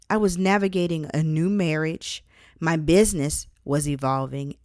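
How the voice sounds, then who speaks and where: A woman's voice: mid-range voice, dry recording, clean studio sound. A woman reading her own book aloud as scripted audiobook narration.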